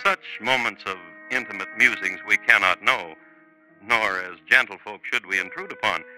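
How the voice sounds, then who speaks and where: A man playing a wry, genteel crime storyteller in formal, deliberate 1950s radio narration. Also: on the low side, bright, forceful tone, soft background music, low-quality recording. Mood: wry, measured. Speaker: narrator and host of a crime drama. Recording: 1950s radio broadcast recording.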